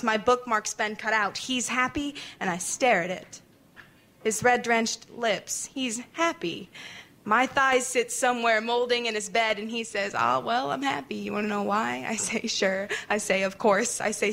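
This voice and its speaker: A woman's voice: mid-range voice, a bit bright. A woman performing intense, dramatic spoken word poetry.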